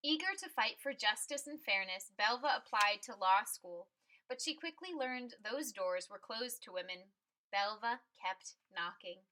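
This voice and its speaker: A woman reads a picture book aloud in a bright, high voice. Her storytelling is expressive and emphatic, with each phrase clearly set out for listeners. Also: a little slow, poor audio.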